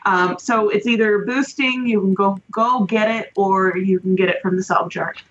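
A woman co-hosting a gaming podcast, speaking hesitantly with pauses and fillers. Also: medium pitch, fairly even intonation, lo-fi sound. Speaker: podcast co-host.

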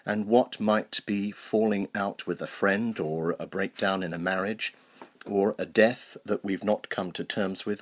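A man, a clergyman, delivering a scripted sermon and scripture reading in a measured, explanatory manner. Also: on the low side. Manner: measured, explanatory, rhetorical questions. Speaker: clergyman, Anglican canon.

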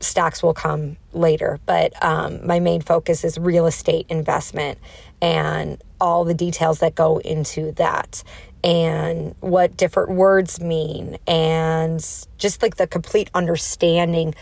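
Woman in her thirties, lowish nasal voice, gravelly fry, casual podcast host, dry close sound. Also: level tone, slow, darkish tone, dry recording. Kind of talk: casual solo podcast talk.

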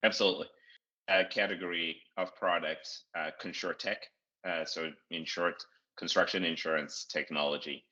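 Man, very low voice, crisp forceful tone, dull lo-fi audio. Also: steady tone. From Zimbabwe. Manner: measured, with frequent "uh" pauses. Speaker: startup CEO guest. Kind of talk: interview answers.

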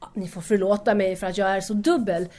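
A woman's voice: mid-range voice, fairly even intonation, darkish tone, natural voice. A young actress playing an anxious, emotional woman in wartime Paris in an audio drama, speaking earnestly. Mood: torn, pleading. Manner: earnest, agitated.

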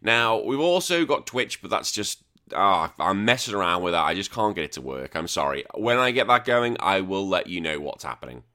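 A man hosting a retro gaming podcast in casual chat, a bit croaky, blunt and joking, swearing freely. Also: sits low, very animated, normal speed, sharp tone. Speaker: podcast host.

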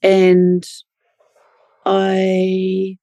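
A woman's voice: mid-range voice, completely flat delivery, very slow, warm tone, no echo, lo-fi sound. A middle-aged woman with a New Zealand accent, a physiotherapist explaining her work thoughtfully in an interview. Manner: thoughtful, self-correcting explanations. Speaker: pediatric physiotherapist guest. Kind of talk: interview answers.

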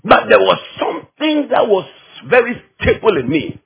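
A man's voice: high-pitched, big pitch swings, moderate pace, gravelly, fairly bright tone, slightly rough, lo-fi sound. A man from Ghana with a Ghanaian accent preaching a teaching sermon, emphatic and repeating phrases to drive them home.

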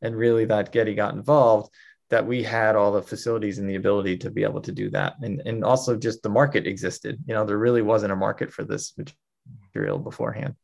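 A man's voice: lowish voice, level tone, fast, vocal fry, darkish tone. A man answering interview questions at length, telling a life story fluently with many fillers. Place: New York.

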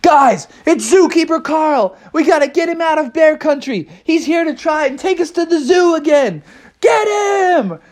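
A woman voicing excitable, gossipy bears in a children's story, exaggerated and eager. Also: extremely high pitch, normal speed, creaky, few pauses, voice pushed up, heavily acted. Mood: urgent, rallying.

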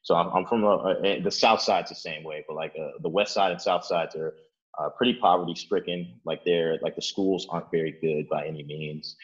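A man's voice: low-pitched, fairly even intonation, normal speed, highs cut off. A young man from Chicago, answering interview questions at home in loose casual talk full of "like" and "uh". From Chicago, Illinois. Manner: rambling, many fillers like "like" and "uh", restarts. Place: his apartment.

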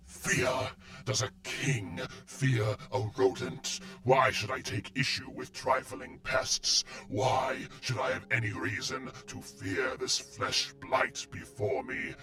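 An actor playing an arrogant, wrathful, ancient undead machine lord, pompous and scornful, in a science fantasy audio drama. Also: male voice, medium pitch, slow pace, few pauses, sharp tone. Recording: music behind the voice.

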